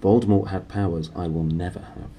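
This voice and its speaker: Man playing a gentle, courteous old storybook wizard. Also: deep voice, steady tone, slight background noise, close to an everyday voice. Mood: calm, modest. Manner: mild, unhurried and politely amused. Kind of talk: children's storybook character voice.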